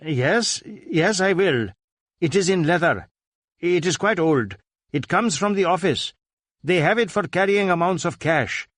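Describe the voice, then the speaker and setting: A man voicing an anxious, wealthy Indian businessman in a crime story, halting and repeating himself. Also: high-pitched, expressive, a bit bright, dry recording, studio quality, voice pushed up, heavily acted. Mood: flustered, compliant. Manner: halting, repetitive, incoherent babbling. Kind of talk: dramatized audiobook dialogue.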